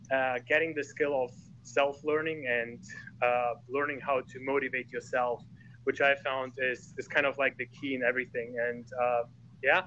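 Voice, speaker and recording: Bright-voiced man on a muffled call. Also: mid-range voice, a little hiss or hum. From Germany. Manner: thoughtful, hesitant with many fillers. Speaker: guest. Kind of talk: casual online group chat.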